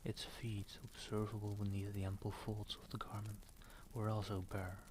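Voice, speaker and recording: Male ASMR host, low-pitched, soft and whispery, very even intonation, casual streamer and storyteller, noisy mic. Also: moderate pace. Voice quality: soft, often breaking into whisper. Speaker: live-stream host and reader.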